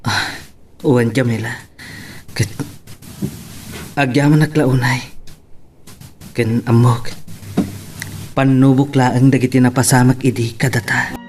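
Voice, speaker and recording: A young man of medium pitch played by an actor speaks gently and sorrowfully in a radio-style love drama. Faint noise sits behind the voice. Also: fairly even intonation, slow, long pauses, natural voice. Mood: grateful, tender.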